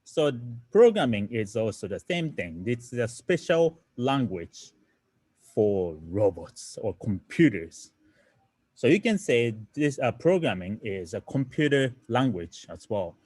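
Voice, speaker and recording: Man, Japanese accent, non-native English, lively pitch, dry close sound, online teacher. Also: a little slow, slightly rough, no room sound. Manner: explanatory, many "right?" tag questions and fillers. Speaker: programming instructor. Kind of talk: online lesson explaining slides.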